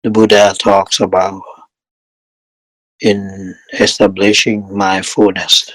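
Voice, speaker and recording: Slow, low-pitched man guiding meditation. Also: no echo, low-quality recording. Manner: calm, measured, with long pauses between short phrases. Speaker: meditation teacher leading a guided session. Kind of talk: guided meditation instruction.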